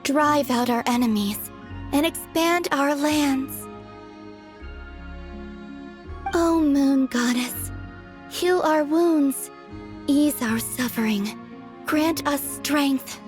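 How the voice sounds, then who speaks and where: Game role: weary, aloof young goddess; high breathy voice, very unhurried, wistful. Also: female voice. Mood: solemn, sorrowful. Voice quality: soft, breathy. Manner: slow, wistful, solemn delivery. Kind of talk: video game voice acting. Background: background music.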